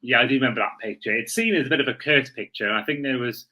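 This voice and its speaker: A man's voice: mid-range voice, racing, pushed and bright, husky. A man hosting a sports podcast in casual chat, often correcting himself mid-sentence.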